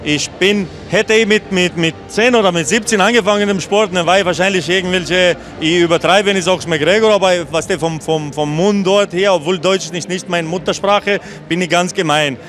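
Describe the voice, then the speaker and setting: Guy in his 30s, post-fight interview, rambly and blunt, kinda high voice, handheld mic. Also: normal speed, hardly any pauses, a bit bright. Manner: rambling, blunt, restarts and mixes in English. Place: event venue backdrop, indoors.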